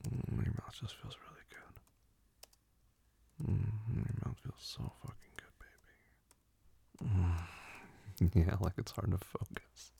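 A man acting as a dominant male lover with a slow, low murmur, thick with gravelly fry. He is teasing and commanding in an intimate roleplay style, his voice very breathy and often close to a whisper. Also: dark tone, dry recording, full character voice. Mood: hushed, intimate. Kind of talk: intimate ASMR audio roleplay for adults.

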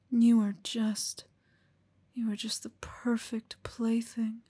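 A woman whispering slowly and teasingly into a close mic, in an affectionate, intimate roleplay. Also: medium pitch, dark tone, husky. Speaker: audio roleplay performer.

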